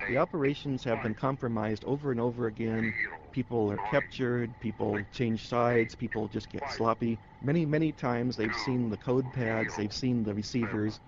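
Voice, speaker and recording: A man's voice: medium pitch, steady tone, moderate pace, a crowd in the background. A man explaining things as an interviewed expert on a radio feature. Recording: dull lo-fi, loud background noise.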